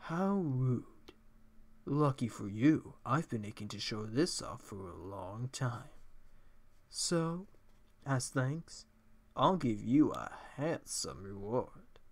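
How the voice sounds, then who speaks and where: Man playing a slow, sultry robot diva. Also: medium pitch, sing-song, darkish tone, pitched below the performer's own voice, exaggerated voice. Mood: sultry menace. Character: sultry, vain robot diva, smooth and menacing. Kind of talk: video game character impressions.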